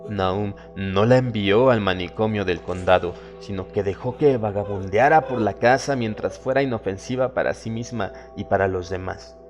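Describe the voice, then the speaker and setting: A man with a fairly low voice narrates a horror tale. His delivery is solemn and measured, with dramatic emphasis on key phrases. Soft music plays behind him. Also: highs cut off. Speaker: audiobook narrator. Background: background music.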